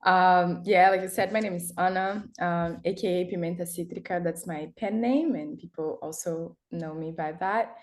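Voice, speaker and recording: Chatty woman, lower voice. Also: moderate pace, hardly any pauses. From Brazil. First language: Portuguese. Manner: chatty, laughs often, many fillers and restarts. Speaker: guest, erotic writer. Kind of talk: casual interview chat.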